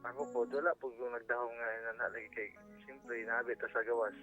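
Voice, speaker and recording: A man's voice: mid-range voice, level tone, sharp tone, soft background music. Filipino male caller on thin phone line. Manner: halting, repetitive, deferential to the host. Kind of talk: casual personal confession on radio.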